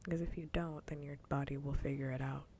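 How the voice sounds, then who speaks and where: Female ASMR streamer, hushed breathy whisper with a dark, crackly tone, conversational, slight background rumble. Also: fairly even intonation, fast. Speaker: livestreamer, ASMR host. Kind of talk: whispered ASMR casual chat. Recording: faint low rumble noise.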